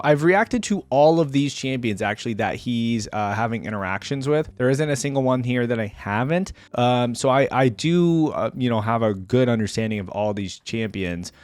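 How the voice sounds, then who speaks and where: Male, reaction host, casual off-the-cuff commentary, medium pitch, close dry recording, enthusiastic, repeats for emphasis. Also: lively intonation, moderate pace, few pauses, no room sound.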